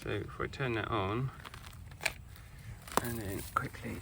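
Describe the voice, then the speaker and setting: A British man giving a casual hands-on product review in an informal, halting way. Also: lowish voice, creaky, heavy background noise.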